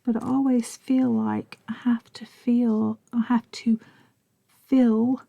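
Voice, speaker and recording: A middle-aged woman with a Southern US accent, chatting and rambling softly, often half-whispering, as she narrates her crafting. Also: fairly high pitch, little pitch movement, slow, creaky, no room sound, lo-fi sound. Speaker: crafting vlogger.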